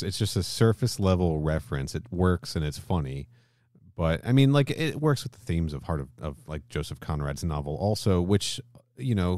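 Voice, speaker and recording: Male podcast co-host, extremely low voice, dry sound, casual and halting explainer. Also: a little mellow, no room sound. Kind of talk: casual discussion.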